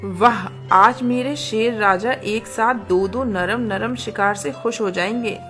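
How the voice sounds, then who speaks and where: A woman's voice: on the high side, slow, a bit bright. A woman voicing a predatory, gloating lioness in a children's cartoon fable. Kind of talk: cartoon story voice acting. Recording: music behind the voice.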